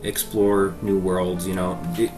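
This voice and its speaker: A man's voice: low voice, flat delivery, unhurried, music in the background. A Canadian man in his thirties answering interview questions about his life, with frequent ums and uhs. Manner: hesitant, many ums and uhs. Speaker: guest pianist.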